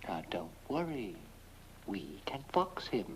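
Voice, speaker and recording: An actor playing a scornful, greedy, irritable schemer with a sardonic, theatrical delivery in a horror-comedy film. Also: male voice, fairly low pitch, sing-song, slow pace. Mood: calculating. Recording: noisy old film soundtrack.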